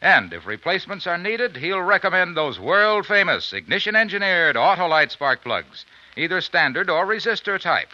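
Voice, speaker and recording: Male 1950s radio pitchman: punchy, animated, folksy salesman, dull vintage sound. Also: normal speed. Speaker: commercial announcer.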